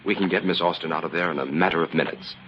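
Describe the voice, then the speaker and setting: An actor playing a calm, confident, methodical crime-fighting hero in an old-time radio adventure. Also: male voice, deep voice, rapid-fire, close to an everyday voice. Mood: calm, resolute. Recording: muffled, noisy old radio recording.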